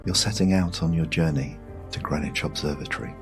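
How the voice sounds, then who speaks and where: Very deep-voiced British man, soothing narrator. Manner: hushed, soothing, measured with long pauses. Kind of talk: guided sleep meditation storytelling. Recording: music behind the voice. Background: music.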